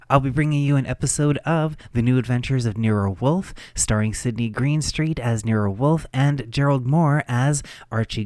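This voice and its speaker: A man's voice: mid-range voice, darkish tone, dry recording. Man hosting a show, close dry sound. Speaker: radio show host. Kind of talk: scripted introduction to old-time radio programs.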